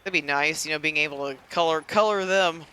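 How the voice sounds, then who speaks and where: A man chatting casually through a video game playthrough. His voice is fairly high, bright and very clear, and he rambles in a self-deprecating way. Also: fairly quick. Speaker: gaming video creator.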